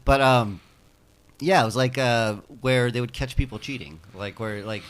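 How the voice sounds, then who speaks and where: A man's voice: mid-range voice, normal speed, a little hiss or hum. A man in his fifties chatting casually on a podcast, rambling and swearing freely as he tells stories. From United States. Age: 50s. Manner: loose, rambling, profane storytelling. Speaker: podcast guest. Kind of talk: casual chat.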